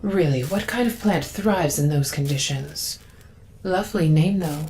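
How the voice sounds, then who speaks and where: An actress playing a reverent, solemn woman devoted to a sacred forest, speaking in a measured, devout way in a video game. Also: sits low, a little mellow, a little hiss or hum. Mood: musing, disdainful. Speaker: quest-giver. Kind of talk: dramatic video game voice acting.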